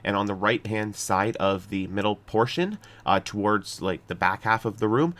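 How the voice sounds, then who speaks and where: A man with a lowish voice hosts a video game guide. He explains directions step by step without a script. His delivery is somewhat hesitant, with pauses and repeated words as he goes. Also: a bit bright. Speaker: gaming guide host. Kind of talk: unscripted video game walkthrough commentary.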